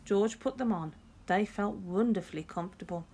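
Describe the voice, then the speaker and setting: A woman narrating a picture book for young children in an expressive teacher's manner. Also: medium pitch, normal speed, breathy edge. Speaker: primary school teacher.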